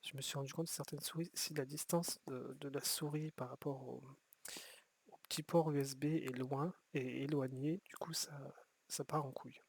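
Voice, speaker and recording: A man's voice: mid-range voice, fairly even intonation, normal speed, gravelly, slightly rough. A man giving rambling, casual commentary while playing a city-building game, often restarting his sentences and swearing offhand. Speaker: gameplay streamer.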